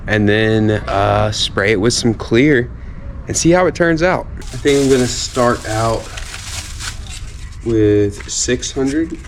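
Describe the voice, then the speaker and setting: A man's voice: fairly low pitch, a little hiss or hum. A man, sounding stuffy from a cold, talking casually through a do-it-yourself wheel restoration outdoors. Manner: relaxed, chatty, self-deprecating. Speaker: car DIY vlogger. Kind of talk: casual how-to narration. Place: outdoors while working on wheels.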